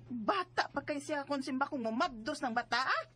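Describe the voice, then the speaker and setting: A woman's voice: high-pitched, lively intonation, moderate pace. A woman playing a worried, stern middle-aged mother in a radio drama, scolding and exclamatory, with a Cebuano Filipino accent. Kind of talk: Bisaya radio drama acting.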